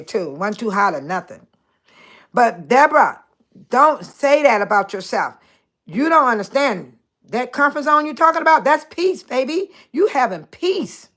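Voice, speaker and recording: A woman in her sixties hosting a casual livestream, chatting warmly with viewers by name and laughing often. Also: a little high, sing-song, normal speed. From Chicago area. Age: 60s. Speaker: livestream host. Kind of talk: casual livestream chat reading viewer comments.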